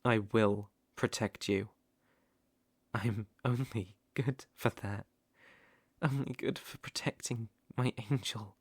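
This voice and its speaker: A British man playing a devoted, possessive stalker in an intimate ASMR roleplay, tender and wry with halting phrasing. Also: fairly low pitch, fairly even intonation, husky, dry recording, heavily acted. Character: devoted, possessive male stalker, outdoorsy and softly protective.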